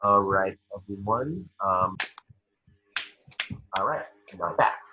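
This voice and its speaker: A man reading scene descriptions at an amateur table read, with a husky voice. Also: fairly low pitch, lively intonation, takes it slowly, highs cut off, background noise.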